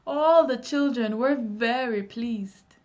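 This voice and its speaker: Slow, high-voiced Jamaican woman storyteller. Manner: expressive, unhurried storytelling. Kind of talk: children's story read-aloud.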